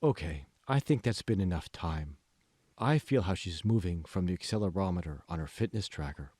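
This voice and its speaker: Man voicing a smug, low, measured AI assistant. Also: lively intonation, darkish tone, no room sound. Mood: coolly controlling. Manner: slow, condescending, coolly controlling. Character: smug, manipulative AI assistant speaking from a phone. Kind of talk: audiobook character voice.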